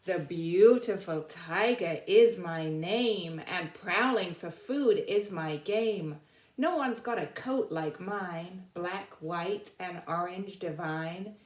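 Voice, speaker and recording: A woman voicing a vain, beautiful tiger in slow, stately verse from a children's picture book. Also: on the low side. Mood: proud. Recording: roomy, echoing sound.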